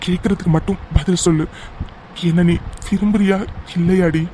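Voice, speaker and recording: A man playing an injured, lovesick young man in an audio drama, speaking haltingly and earnestly to his beloved. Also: high-pitched, steady tone, very noisy, lightly acted. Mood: weak, earnest. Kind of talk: melodramatic Tamil audio drama acting.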